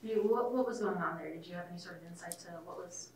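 A woman's voice: lowish voice, fast, darkish tone, distant mic, slight background noise. A woman reporter asking long, rambling questions at a press conference. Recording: roomy, off-mic.

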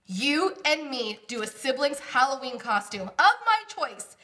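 A young woman playing an excitable, scheming, teasing sister with theatrical emphasis in a comedy table read. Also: high voice, measured pace, noisy background. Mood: scheming, playful. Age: young adult. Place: small indoor room at a table.

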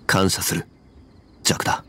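An actor playing a calm, dignified immortal former god in a fantasy game, speaking in a composed and formal way. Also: male voice, normal speed, slight background noise, close to an everyday voice. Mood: solemn, fond. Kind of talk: Japanese game voice acting, dramatic dialogue.

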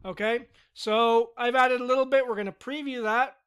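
A man giving a relaxed, step-by-step screen-recorded software tutorial with casual fillers. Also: very high voice, moderate pace, smooth. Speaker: tutorial instructor.

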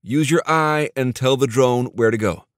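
A man voicing a cocky, excitable male tech whiz in an audiobook, with a theatrical, teasing manner. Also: mid-range voice, moderate pace, no room sound, clean studio sound, voice pushed up, close to an everyday voice. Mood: eager, teasing.

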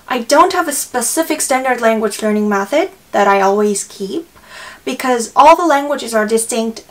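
A woman's voice: on the high side, a bit bright. A young woman with a Korean accent, her native language, answering viewer questions in a casual vlog from a small room. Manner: reads questions, then answers cheerfully. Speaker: language vlogger. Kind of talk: casual Q&A to camera. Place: small bright room indoors.

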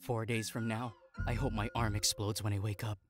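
A man's voice: fairly low pitch, level tone, normal speed, over music, close to an everyday voice. A man voicing a melodramatic, anxious teenage student council president in an anime fan dub. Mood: gloomy, dramatic. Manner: overdramatic.

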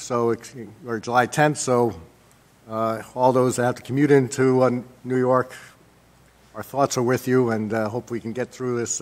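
A man in his sixties with an American accent gives measured mayoral remarks at a public meeting, pausing often as he works from notes. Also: medium pitch. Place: indoor council chamber. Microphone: gooseneck desk microphone.

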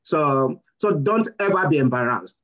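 A man's voice: fairly high pitch, measured pace. A man on a webinar panel speaking bluntly over a video call. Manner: blunt, lively. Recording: dull husky call audio.

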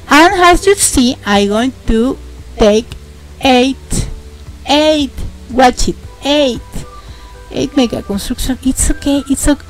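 A young woman with a Mexican accent, a host narrating a casual game tutorial, halting and repeating words as she explains. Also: high-pitched, low-quality recording. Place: home, indoors.

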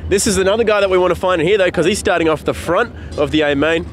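A man's voice: high voice, fast talker. A young man working as a pit reporter, interviewing drivers live at an outdoor racetrack. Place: outdoor speedway pit area at night. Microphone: handheld microphone. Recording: clear engine noise, outdoor trackside.

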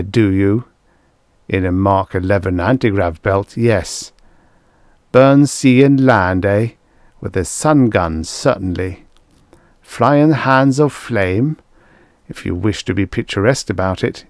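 A man's voice: mid-range voice, fairly even intonation, slow pace, gravelly, few pauses, darkish tone, no room sound, studio quality. A man voicing a scornful, dangerous priest in a science fiction story, with a mocking, disdainful delivery. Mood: sarcastic scorn.

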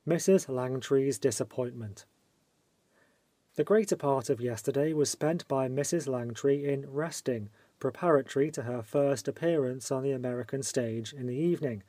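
A man's voice: medium pitch, normal speed, dark tone, no echo. A man reading scripted narration as an audiobook reader.

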